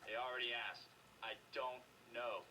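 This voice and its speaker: An actor playing a stubborn, weary prisoner under interrogation in a video game, curt and resentful. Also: male voice, mid-range voice, steady tone, measured pace, recorded from a distance, background noise, close to an everyday voice. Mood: defiant.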